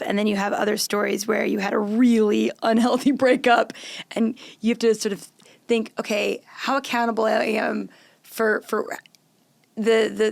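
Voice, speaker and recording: A woman's voice: mid-range voice, vocal fry, dry recording. A woman in her late thirties hosting a podcast, upbeat and encouraging as she asks questions. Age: 30s. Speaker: podcast host. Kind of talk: casual interview chat.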